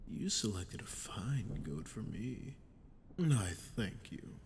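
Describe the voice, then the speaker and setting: A man playing a sadistic, smug archdemon in an ASMR roleplay, talking down to the listener with slow, mocking condescension. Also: on the low side, big pitch swings, darkish tone, slight background noise, full character voice. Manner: mocking, condescending, slow taunting delivery with breathy drops. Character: sadistic, smug male archdemon toying with a captive ruler. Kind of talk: ASMR audio roleplay, dramatic monologue to the listener.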